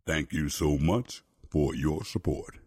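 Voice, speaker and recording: A man reading a calm, measured sponsor announcement for a community radio station. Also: rumbling low, breathy edge, dry recording, studio quality.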